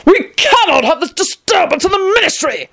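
A woman's voice: extremely high pitch, very animated, moderate pace, creaky, fairly bright tone, voice pushed up, heavily acted. A woman voicing a stern male officer ordering someone out in a storybook.

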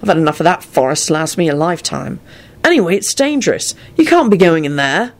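A woman's voice: sits low, sing-song, fast talker. A woman voicing a young man, a wizard, who speaks mildly but turns snappish. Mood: serious. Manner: mild, then snappish. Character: exasperated young adult male wizard, godfather.

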